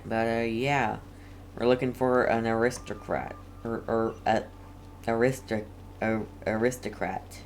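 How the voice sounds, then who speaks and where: A game streamer giving casual commentary in a rambling, hesitant way, with halting phrases. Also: mid-range voice, fairly even intonation, a little hiss or hum.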